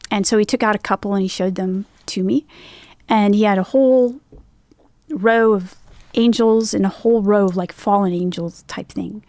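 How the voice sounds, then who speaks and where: A woman recounting a personal anecdote in an interview, telling it in a relaxed way with a few fillers. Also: medium pitch, normal speed, no room sound. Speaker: interview guest.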